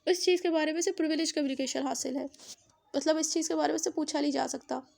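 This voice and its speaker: Woman, Pakistani accent, very high voice, creaky edges, law teacher, didactic, repeats and rephrases. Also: fairly even intonation, fast, darkish tone. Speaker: law lecturer. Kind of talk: explanatory lecture mixing in English legal terms.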